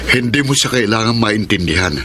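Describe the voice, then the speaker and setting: A man's voice: lowish voice, a little fast, bright, forceful tone, slight background noise. An actor playing an obedient, restrained elder brother in his thirties, giving warnings in a Filipino radio drama. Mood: admonishing. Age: 30s.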